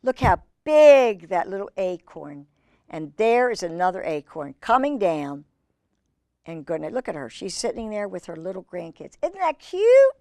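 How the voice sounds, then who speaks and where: A woman hosting children's storytime, reading aloud and chatting in an expressive, gushing manner with fond asides. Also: mid-range voice, no echo, studio quality. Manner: gushing, expressive, exclaiming over pictures.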